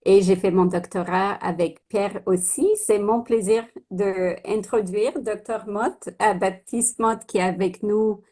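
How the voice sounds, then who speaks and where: A woman's voice: mid-range voice, fairly even intonation, a little slow, few pauses, mellow. A woman co-chairing a prize committee, introducing the guest speaker on a video call in a friendly, slightly hesitant way. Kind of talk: webinar introduction.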